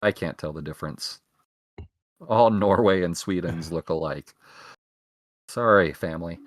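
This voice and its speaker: A young man co-hosting a casual podcast, rambling through tangents and poking fun at himself. His voice sits on the low side and is recorded dry and close to the mic. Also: normal speed, no room sound. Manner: rambling, self-mocking jokes. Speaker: podcast co-host. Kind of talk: casual chat.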